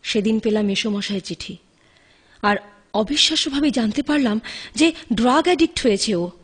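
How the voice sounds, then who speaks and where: Actress as devoted young woman in a radio play, high voice, really swoopy, tender and pleading. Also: expressive, fairly quick, close to an everyday voice. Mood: grave, resolute. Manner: tender, coaxing, impassioned. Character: devoted young woman caring for her addicted beloved. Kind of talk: Bengali audio drama acting.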